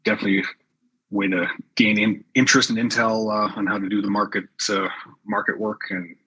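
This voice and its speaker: A man from Canada with a husky voice, describing his work in a rambling, filler-heavy way. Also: lowish voice, flat delivery, brisk, bright, forceful tone, lo-fi sound. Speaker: panelist, realtor. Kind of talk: conversational panel talk.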